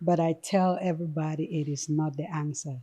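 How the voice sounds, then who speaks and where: A woman's voice: deep voice, fairly even intonation, fairly quick, warm tone. A middle-aged woman from Cameroon, a nurse practitioner speaking earnestly as a podcast guest, with lots of "you know" and "um". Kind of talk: podcast interview.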